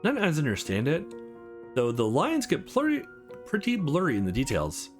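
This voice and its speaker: A man's voice: a little high, wildly swooping pitch, normal speed, faint music behind. A man voicing an earnest, guarded young woman in a visual novel, with a hesitant, confessional manner. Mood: confessing.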